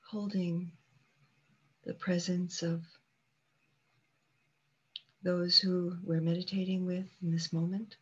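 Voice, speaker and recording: A woman meditation teacher leading a guided meditation calmly and unhurriedly, leaving long pauses between short phrases. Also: fairly low pitch, breathy edge, dull audio.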